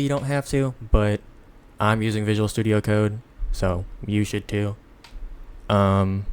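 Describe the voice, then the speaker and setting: A tired man hosts an off-the-cuff software tutorial. His voice sits fairly low and sounds clear and smooth. He rambles in a casual, self-deprecating way, sounding sleepy as he explains. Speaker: tutorial host.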